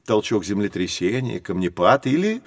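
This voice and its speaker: An actor playing a patient teacher who explains things expressively to children in a scripted educational audio drama. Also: male voice, on the low side, normal speed, no room sound. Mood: explaining. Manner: didactic, expressive. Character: patient adult male teacher guiding curious children.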